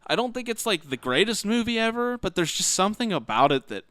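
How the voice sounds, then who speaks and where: A man with a clear, smooth voice co-hosts a casual podcast. His pitch moves around a lot as he gives informal, slangy opinions. The sound is very dry, with little room in it. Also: big pitch swings, quick pace, a bit bright, dry recording. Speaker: podcast co-host. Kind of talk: casual banter, movie and game commentary.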